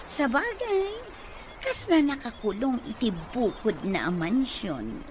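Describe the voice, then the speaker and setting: A cunning Filipino woman plotting as a villain's accomplice. She speaks slowly and rather high, with animated radio drama delivery and noise behind. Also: full character voice. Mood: mocking. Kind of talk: Ilocano radio drama acting. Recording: noisy ambient background.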